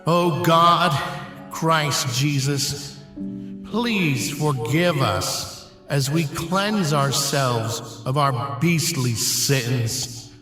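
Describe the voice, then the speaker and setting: Man acting a solemn medieval bishop, slow and echoing. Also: a little high, bright, forceful tone, full character voice. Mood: pleading. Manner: solemn, declamatory. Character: grave, pious medieval bishop preaching during a plague. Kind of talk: dramatized sermon in an audio drama. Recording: roomy church-like echo, music behind.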